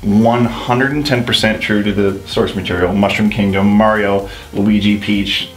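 A man in his thirties co-hosting a gaming show, chatting casually with slangy, animated opinions. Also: lowish voice, moderate pace. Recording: roomy echo, music underneath. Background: music.

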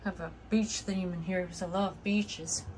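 A woman's voice: lowish voice, fairly even intonation, a little slow, a little mellow, a little hiss or hum. Matter-of-fact young woman touring her home. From eastern United States. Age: 20s. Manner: plain, matter-of-fact, listing things as she walks. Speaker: vlogger. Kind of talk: casual house tour. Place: small manufactured home, indoors. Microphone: phone camera, front-facing then walking.

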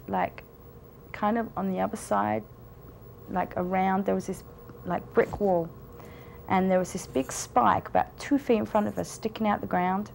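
A woman's voice: medium pitch, creaky, a little mellow, a little hiss or hum, poor audio. Rambling young woman. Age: young adult. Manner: rambling, with many fillers and restarts. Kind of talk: personal storytelling to camera. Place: indoor studio with a plain wall.

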